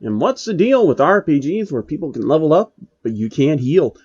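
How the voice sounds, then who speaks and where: Huge bombastic monster villain played by a male narrator, hammy and boastful, pitched up, dull recording. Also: big pitch swings, normal speed, slightly rough. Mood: jokey. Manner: loud, hammy, strained shouting.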